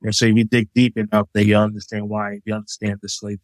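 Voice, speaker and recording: A man's voice: fairly low pitch, completely flat delivery, very fast, dry recording. Easygoing middle-aged man from Liberia. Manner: easygoing, explains with examples, many fillers. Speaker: chef, guest. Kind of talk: casual interview talk.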